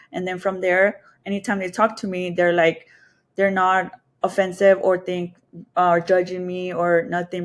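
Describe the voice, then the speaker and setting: A woman from the Texas and Mexico border with a Mexican Spanish accent, telling personal stories casually and candidly as a podcast guest, thinking aloud as she goes. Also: lowish voice. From Texas and Mexico border region.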